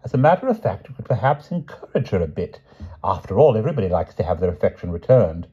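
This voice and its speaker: A fussy, kindly old knight-king, voiced by a man, frets in a high, wobbling, upper-class voice. His pitch leaps about as he dithers. The storybook recording sounds dull and lo-fi. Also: wildly swooping pitch, moderate pace, mellow, husky, heavily acted. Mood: fretful.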